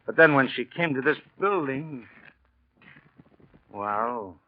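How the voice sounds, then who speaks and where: A man's voice: medium pitch, expressive, slow. A man playing a shrewd homicide lieutenant in 1940s radio drama, offhand and folksy but slyly probing. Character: shrewd, casual homicide police lieutenant, quietly suspicious. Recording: dull, noisy vintage radio recording.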